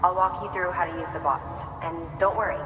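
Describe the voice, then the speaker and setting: A calm young actress as a woman engineer in a game cutscene, explaining things matter-of-factly. Her voice rings in a reverberant hall, with music underneath. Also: lowish voice, fairly quick, lightly acted. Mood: businesslike. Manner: matter-of-fact, instructional. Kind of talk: naturalistic video game cutscene acting. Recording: echoey hall, music behind.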